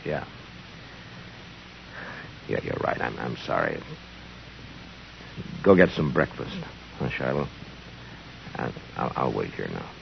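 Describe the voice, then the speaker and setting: A man's voice: a bass voice, big pitch swings, takes it slowly, long pauses, full character voice. A male actor playing a grim, hard-bitten marshal in an old western radio drama, terse and commanding. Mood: weary, remorseful. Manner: terse, gruff, barking orders. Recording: muffled, noisy old radio recording.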